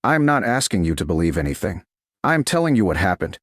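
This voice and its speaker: A composed, gentle servant woman from a romance story , read by a man with a low voice. She speaks softly in measured lines with lively intonation and a very dry sound. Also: no echo. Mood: quiet, steady. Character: calm, gentle woman, duke's lost love turned servant.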